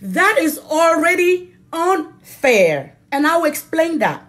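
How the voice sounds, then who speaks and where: A woman from Cameroon, a native French speaker with a Cameroonian accent, giving an impassioned political address in an emphatic, deliberate way, repeating phrases for stress. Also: extremely high pitch, big pitch swings, a bit bright. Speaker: political activist addressing viewers.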